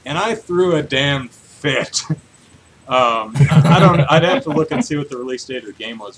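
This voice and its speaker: A man in his thirties with a mid-pitched voice chats casually as a podcast host. His delivery is animated and self-deprecating. Some background noise sits behind him. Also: poor audio. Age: 30s. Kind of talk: casual chat. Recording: clear steady background noise.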